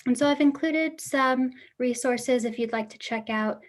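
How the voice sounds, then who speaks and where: A woman's voice: high voice, normal speed. A young woman casually explaining voice therapy techniques, coaching with fillers and live demonstrations. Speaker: voice therapy presenter. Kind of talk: casual spoken instruction.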